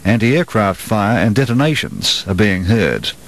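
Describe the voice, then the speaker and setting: A man's voice: lowish voice, lively intonation, unhurried. New Zealand man reading radio news. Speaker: radio newsreader. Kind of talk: scripted news bulletin reading.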